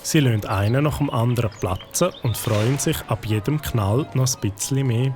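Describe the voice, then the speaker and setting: A man's voice: medium pitch, moderate pace, music in the background. Swiss German male storyteller. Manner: calm, storytelling. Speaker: narrator. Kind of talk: scripted storybook narration.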